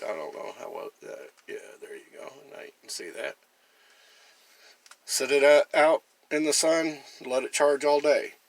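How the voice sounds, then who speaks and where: A man's voice: medium pitch, gravelly, fairly bright tone. Casual man demonstrating outdoor gear. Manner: plain-spoken, folksy, chatty. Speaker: gear reviewer and camper.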